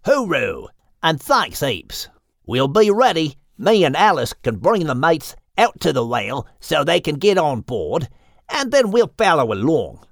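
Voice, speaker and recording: A man's voice: fairly high pitch, very animated, measured pace, gravelly, no echo, studio quality, pitched above the performer's own voice, exaggerated voice. A man voicing an easygoing male crocodile with an Australian accent and plenty of slang, in an audiobook. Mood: cheerful. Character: laid-back male Australian crocodile, joking. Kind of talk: audiobook character voice.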